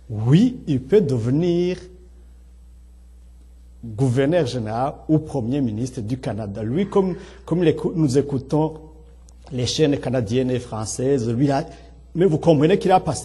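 Middle aged guy, burundian accent, really husky mellow voice, giving an earnest speech, kinda halting. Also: mid-range voice. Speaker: speaker at a public talk on integration. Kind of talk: public speech, partly improvised.

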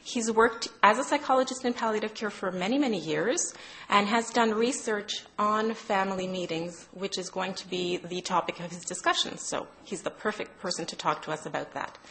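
Woman, host, formal introduction, gravelly tone, faint background noise. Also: medium pitch, normal speed, fairly bright tone, poor audio. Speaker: event host introducing a speaker.

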